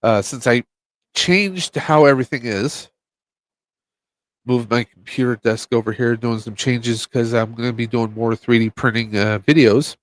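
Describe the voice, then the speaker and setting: A man with a blocked nose, guesting on a podcast from his home. He talks in a halting, casual way, stopping and restarting often. His voice sits at an average pitch, with a dry, close sound. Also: no room sound, rough recording. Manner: halting, many fillers and restarts. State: stuffed up. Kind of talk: casual chat.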